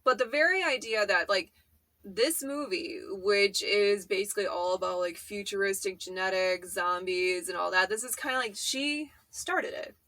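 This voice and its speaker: A woman's voice: mid-range voice, normal speed, sharp tone, dry recording. A woman hosting a hobby vlog, chatting casually and enthusiastically in a gushing, informal, sweary way.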